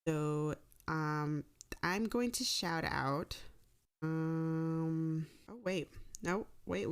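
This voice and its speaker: A woman's voice: lowish voice, clean tone. A woman hosting her podcast in a casual, rambling, joking and self-deprecating way. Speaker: podcast host and creator.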